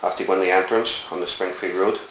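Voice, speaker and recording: Deep-voiced Belfast man, echoey room. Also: fairly even intonation, fast talker, fairly bright tone. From Northern Ireland. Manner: rambling, reflective storytelling with many fillers. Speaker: interviewee. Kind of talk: oral history interview. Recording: echoey room with faint hiss.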